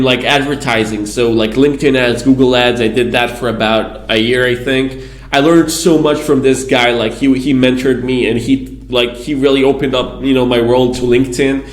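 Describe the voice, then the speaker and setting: A man's voice: mid-range voice, steady tone, moderate pace. A man from Israel, a guest on an interview podcast, telling his story in a casual, rambling way with plenty of asides. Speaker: guest, PR agency founder. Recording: echoey room.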